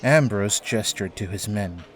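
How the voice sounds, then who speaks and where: A man's voice: on the low side, a little slow. A man reading scripted narration for an audio drama, in a storytelling style. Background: faint music.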